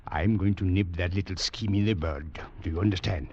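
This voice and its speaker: An actor playing a ruthless, contemptuous commandant in a 1940s radio drama, barking menacing orders. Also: male voice, deep voice, fairly quick, darkish tone. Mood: cold menace. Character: ruthless French Foreign Legion commandant, brutal and contemptuous. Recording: dull, noisy 1940s radio recording.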